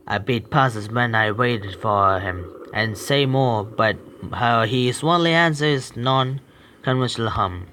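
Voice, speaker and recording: A young man reading a horror visual novel aloud, stumbling and correcting himself with quick apologies and reactions. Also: mid-range voice, pushed and bright, slight background noise.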